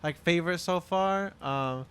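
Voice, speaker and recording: A man's voice: on the high side, measured pace, fairly bright tone, clean tone. Laid-back young man podcast co-host. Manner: laid-back, laughs often. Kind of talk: casual chat.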